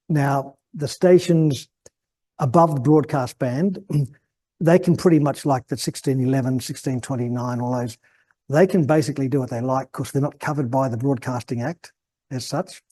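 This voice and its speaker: An Australian man, probably over fifty, giving an informal technical talk at a club meeting, halting and rambling as he repeats words mid-thought. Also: medium pitch, dark tone. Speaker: guest speaker at an amateur radio club meeting.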